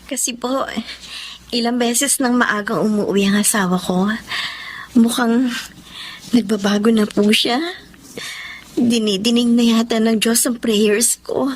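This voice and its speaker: An actress with a Filipino accent playing a gentle, wistful blind wife in a radio drama, speaking softly and hesitantly. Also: female voice, a little high, steady tone, unhurried, pauses often, breathy edge. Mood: hopeful.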